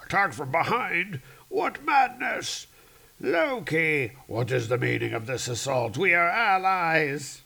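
A man acts out a wrathful storm god from a comic book, proud and easily affronted. The speech is grand and archaic, declaimed with a gravelly edge. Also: a little high, sing-song, takes it slowly, pushed and bright, a little hiss or hum, pitched above the performer's own voice, full character voice. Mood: outraged.

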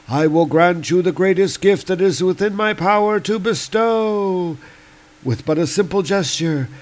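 A man voicing a sinister ancient male serpent god, speaking in a slow, crooning, theatrical way. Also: high-pitched, darkish tone, pitched above the performer's own voice.

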